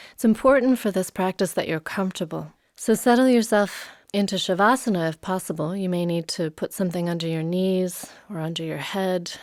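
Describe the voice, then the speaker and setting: A woman leading a guided meditation as a yoga nidra teacher, soothing and unhurried, leaving long pauses. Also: lowish voice, no room sound, studio quality.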